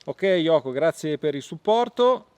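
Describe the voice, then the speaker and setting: A man hosting an outdoor gear review, explaining casually to viewers with halting pauses and repeated words. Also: a little high, lively intonation, a little mellow. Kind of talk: casual product demonstration to viewers.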